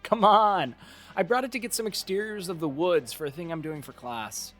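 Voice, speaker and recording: Man playing eager, geeky male student, high-pitched, casual and sweary, audio drama with music behind. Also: normal speed, fairly bright tone. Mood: explaining. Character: young male student, foul-mouthed, eager geeky friend.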